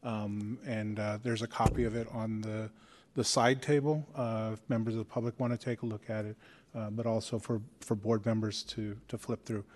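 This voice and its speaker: A man's voice: fairly low pitch, little pitch movement, normal speed, a little mellow. A man presenting to a board meeting, apologetic and stumbling over words. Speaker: staff presenter.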